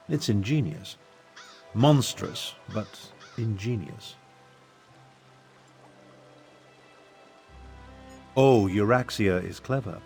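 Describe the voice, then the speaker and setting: A man's voice: lowish voice, darkish tone. A man playing an eloquent, indignant royal half-brother in a video game, speaking in a formal, articulate, theatrical manner. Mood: bitter, indignant. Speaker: guide. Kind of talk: scripted video game dialogue.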